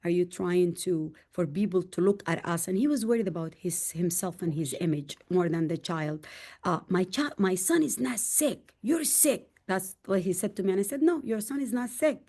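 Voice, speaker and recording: Earnest Sudanese-accented woman, low mellow voice. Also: lively intonation, normal speed. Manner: emphatic and heartfelt, rising in pitch on key points. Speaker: podcast guest. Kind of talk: candid conversational storytelling.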